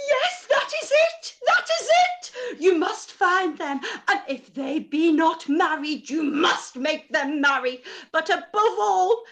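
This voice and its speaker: A woman's voice: very high-pitched, very animated, slow pace, fairly bright tone, full character voice. A woman playing a hysterical, self-pitying middle-aged mother in a period radio play, wailing and gasping melodramatically. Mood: frantic, wailing. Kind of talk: live-read radio play acting of period drama.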